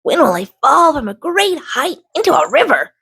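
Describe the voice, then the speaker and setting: A woman performs an excitable young male rock from a children's storybook. The voice sits high and leaps about with dramatic, restless longing for adventure, close and dry. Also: moderate pace, dry recording, exaggerated voice. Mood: eager, defiant. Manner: dramatic, wide-eyed and excitable. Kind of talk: children's storybook read-aloud.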